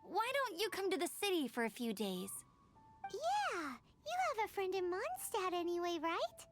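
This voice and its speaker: A woman voicing a plucky, friendly young girl adventurer in a video game, chummy and animated. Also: extremely high pitch, normal speed, full character voice. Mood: friendly concern. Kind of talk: video game character dialogue. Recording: music behind the voice.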